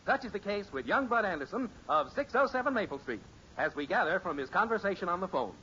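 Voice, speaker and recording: A man's voice: high-pitched, very animated, fast, bright, forceful tone, slight background noise. A man narrating an old radio show in a wry, mock-grandiose, formal announcer style. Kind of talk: scripted 1950s radio narration. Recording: dull old radio recording.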